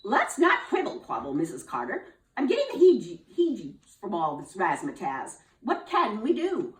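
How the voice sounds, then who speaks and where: A woman voicing a flustered, excitable female schoolteacher who squeals her exclamations in a high voice. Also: normal speed, far from the mic. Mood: jittery. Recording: roomy, from off the mic.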